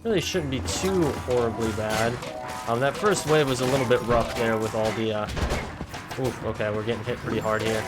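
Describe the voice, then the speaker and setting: A slightly hoarse man talks viewers through his game in a relaxed, chatty way, speaking at a mid pitch. Loud game music plays under his voice. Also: moderate pace. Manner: chatty, addresses viewers as guys, frequent fillers. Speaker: gaming video creator. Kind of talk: casual gameplay commentary. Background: game music and combat sounds.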